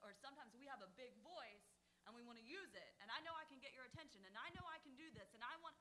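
A woman's voice: high voice, animated, fast talker, pushed and bright, slight background noise. A woman, a teacher, sharing earnest reflections during a church sermon.

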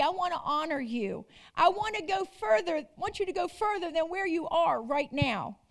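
A woman preaching a sermon, emphatic and repetitive, asking the congregation rhetorical questions. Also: very high voice.

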